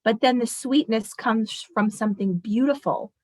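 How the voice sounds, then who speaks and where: A woman's voice: on the high side, normal speed, a little mellow. A woman teacher reading a picture book aloud expressively and explaining it to children as she goes.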